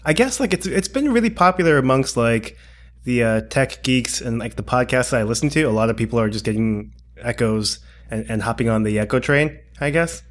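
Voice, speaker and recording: A man with an average-pitched voice talks casually as a podcast co-host. He rambles a little, hedging and feeling his way through each thought in an informal, conversational style. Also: animated, fairly quick. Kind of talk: casual tech podcast chat.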